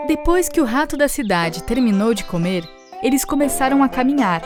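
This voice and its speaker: A woman's voice: sits high, expressive, brisk. A woman with a Brazilian accent narrating a scripted children's story. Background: music.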